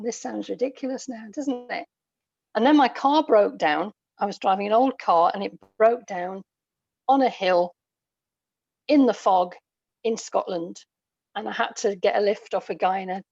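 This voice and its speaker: A woman's voice: mid-range voice, a little mellow, no room sound. A middle-aged woman with a British accent chats as a guest over an internet call. Her sound is dry and a little dull, and she talks reflectively in casual anecdotes, often checking in with tag questions. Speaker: podcast guest, writer.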